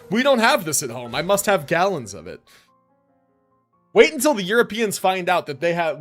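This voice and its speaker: An American man chatting casually on a live game stream in an animated, slangy, joking way. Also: on the high side.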